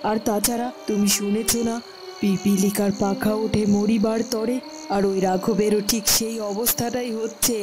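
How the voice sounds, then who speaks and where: A dignified queen mother from a cartoon fairy tale, acted by a woman, consoles steadily in a voice dropped well down from the performer's usual pitch, with music behind. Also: flat delivery, unhurried, lightly acted. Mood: reassuring, resolute.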